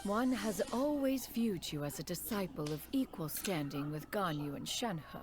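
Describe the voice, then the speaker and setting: An actress playing a dignified immortal crane adeptus and mentor in a video game, speaking in formal, archaic phrases. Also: female voice, fairly low pitch, expressive, normal speed, music in the background, close to an everyday voice. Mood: solemn, revealing. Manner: formal, archaic, refers to self as "one". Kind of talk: scripted game character dialogue.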